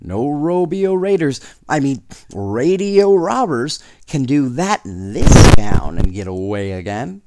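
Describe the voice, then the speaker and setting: A man voicing a blustering small-town sheriff in a storybook, loud and given to muddling his words. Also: medium pitch, sing-song, slow pace, hardly any pauses, very noisy, voice pushed up. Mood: flustered urgency. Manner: loud, blustery, tongue-tangled.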